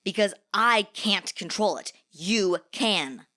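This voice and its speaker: A snappy teenage boy from a gang, as a woman narrator plays him. His voice is mid-pitched with a tense, bright edge, and he argues sarcastically through a dramatised story. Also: slow pace. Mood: sarcastic. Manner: sarcastic, argumentative. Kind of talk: dramatised fanfiction reading.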